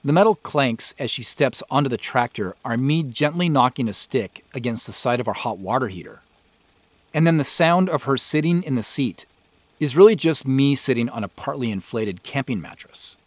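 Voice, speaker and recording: An American man gives a director's commentary in a conversational, explanatory way. His pitch is in the middle range. The recording is very dry and free of room echo. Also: lively intonation, moderate pace. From Spokane Valley, Washington, United States. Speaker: show creator and sound designer.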